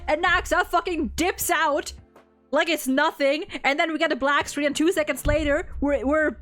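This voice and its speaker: A woman host in a casual livestream chat, talking in an animated, blunt way and swearing freely. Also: high voice, normal speed, faint music behind. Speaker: host ranking game story quests with a guest.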